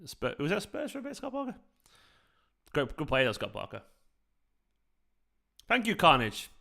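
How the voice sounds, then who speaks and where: A man's voice: a little high, wildly swooping pitch, moderate pace, gravelly, pushed and bright. A young man with a South Manchester accent, chatting casually to viewers during a live football watchalong. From South Manchester, England. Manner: chatty, rambling, talks to viewers. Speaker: fan channel host.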